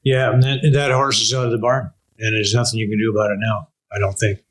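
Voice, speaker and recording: A man hosting a casual interview, talking informally in halting phrases. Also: on the low side, level tone, fairly bright tone. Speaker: interview host.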